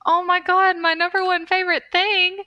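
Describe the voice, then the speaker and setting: A woman's voice: extremely high pitch, flat delivery, normal speed, dry recording, muffled recording. A woman co-hosting a podcast in a casual, chatty style. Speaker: podcast co-host.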